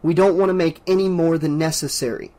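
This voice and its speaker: A man's voice: fairly high pitch, fairly even intonation, fairly quick, mellow, close to an everyday voice. Cautious young man, earnest and urgent, slightly raised voice, as the narrator plays him. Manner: urgent, careful.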